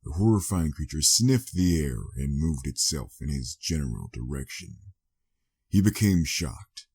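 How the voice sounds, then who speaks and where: A man's voice: very deep voice, animated, unhurried, darkish tone, slightly rough. A man reading a scripted story as a dramatic audiobook narrator.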